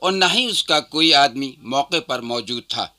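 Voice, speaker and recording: A man reads formally from a script in a historical radio programme. His medium-pitched voice is very bright and forceful. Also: expressive, measured pace. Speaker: reader of a historian's quotation.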